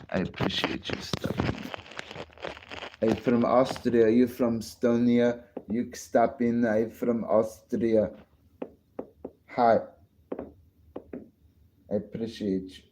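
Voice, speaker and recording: Slow, low-voiced man rambling on a stream. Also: background noise. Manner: rambling, slow and drawn-out, drinking and smoking on stream. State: tired. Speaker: livestreamer. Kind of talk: casual late-night livestream chat.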